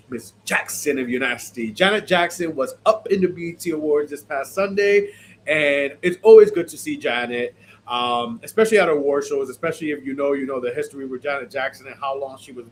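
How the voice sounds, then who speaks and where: A man's voice: on the high side, a little hiss or hum, poor audio. A man hosting a podcast, chatting casually about fashion looks, sounding stuffy and sniffly from allergies. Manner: chatty, animated, many fillers. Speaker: podcast host. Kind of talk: casual fashion review chat.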